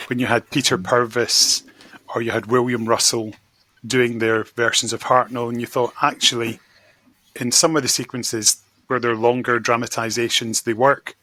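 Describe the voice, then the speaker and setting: A male podcast guest with a strikingly bright, forward voice and a very dry sound. He gives his opinions conversationally, at a medium pitch. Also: level tone, normal speed, no echo, rough recording. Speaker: podcast guest, magazine editor. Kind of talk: casual chat, giving opinions.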